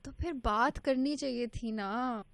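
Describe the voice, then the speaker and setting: Girl on a prank call, sweet and unsure, mixes in english, kinda high voice, dry close sound. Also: slow pace, creaky, no room sound.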